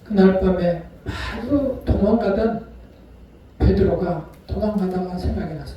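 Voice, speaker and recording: A male pastor preaching a sermon, retelling a story with acted-out voices and sharp swings between loud and soft. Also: high voice, a little slow, lo-fi sound, a little hiss or hum. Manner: storytelling, acts out quoted voices. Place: church sanctuary. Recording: echoey hall from far off.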